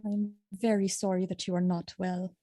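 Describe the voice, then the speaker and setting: A woman playing a gentle, devoted noble wife, tender and solicitous in a Shakespearean audio drama. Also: mid-range voice, little pitch movement, moderate pace, close to an everyday voice. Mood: tender, concerned.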